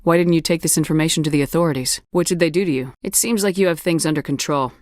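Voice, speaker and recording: A woman's voice: deep voice, quick pace, darkish tone, dry recording, studio quality, lightly acted. A woman playing a tough, decisive space soldier in a video game, speaking with firm, clipped authority. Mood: firm, questioning.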